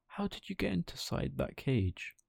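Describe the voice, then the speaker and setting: A man voicing a gentle but stern female fairy, measured and admonishing, in a children's storybook. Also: mid-range voice, sing-song, vocal fry, slightly rough, no room sound, clean studio sound, voice pushed up, heavily acted. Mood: questioning.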